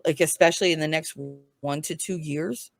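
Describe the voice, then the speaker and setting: A woman's voice: deep voice, fairly even intonation, moderate pace, no room sound, soft background music. Woman giving a frank tarot reading, dry close sound. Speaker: tarot reader, guest. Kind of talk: casual tarot reading, conversational.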